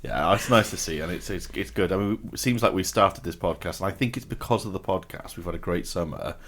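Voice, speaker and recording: A man hosting a podcast, telling lively self-mocking stories in casual chat, sounding a bit rough. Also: low voice, a little fast. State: a bit rough after a late night. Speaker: podcast host.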